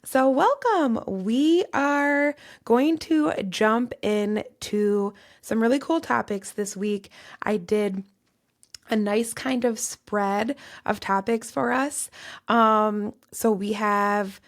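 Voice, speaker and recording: Raspy-voiced Midwestern woman podcaster. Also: on the high side, takes it slowly. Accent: Midwestern American. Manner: earnest, list-like delivery. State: strained, cracking voice. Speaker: podcast host and tarot reader. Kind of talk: solo podcast monologue on astrology.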